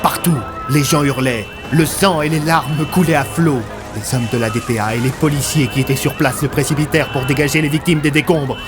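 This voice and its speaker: A man's voice: fairly high pitch, fairly quick, few pauses. A man narrating a scripted audio fiction in a dramatic storytelling style. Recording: loud music bed. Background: music.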